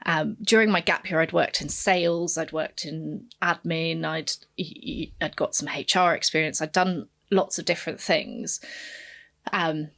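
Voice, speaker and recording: Woman in her 40s from kenya, low gravelly voice, guest on a podcast talking through her past. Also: fairly even intonation, measured pace, a bit bright. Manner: reflective, candid storyteller. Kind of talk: podcast interview, telling her life story.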